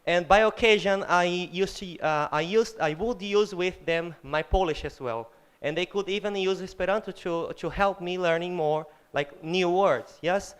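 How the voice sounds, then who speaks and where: High, clear-voiced Brazilian-accented man, halting audience anecdote. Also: fairly bright tone. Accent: Brazilian Portuguese. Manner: halting, repeats words, asks "yes?". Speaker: audience member. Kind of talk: spontaneous audience comment. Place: lecture hall.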